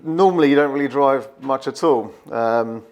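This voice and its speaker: Man, vlog host, mid-pitched, friendly, conversational. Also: moderate pace, darkish tone, highs cut off. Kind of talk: casual interview chat.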